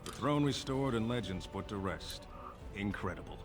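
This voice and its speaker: A man's voice: fairly low pitch, expressive, moderate pace, music in the background, lightly acted. A man playing a stoic, grim veteran dwarf soldier in a fantasy video game, solemn and plain-spoken. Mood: grave, impressed.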